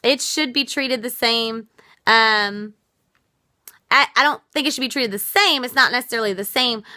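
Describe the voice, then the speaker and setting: A woman's voice: on the high side, sharp tone, dry recording, clean studio sound. A woman from the United States hosting a casual live stream, earnest and reflective as she answers viewer comments, her voice worn out. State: losing her voice. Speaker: livestream host.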